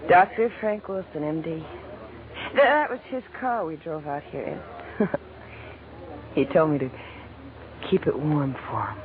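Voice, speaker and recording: A woman's voice: deep voice, animated. A guarded young office girl, tinny radio. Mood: reserved. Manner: sassy, guarded, quick with retorts. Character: young office girl, sharp-tongued but naive, wary of a gambler. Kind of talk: 1940s radio drama acting. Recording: tinny, noisy vintage radio recording.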